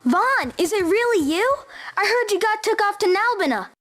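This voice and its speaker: A chirpy, high-voiced youngster from a fantasy game, eager and informal. Music plays faintly behind the voice. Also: female voice, lively intonation, normal speed, fairly bright tone. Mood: excited. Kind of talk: video game drama acting.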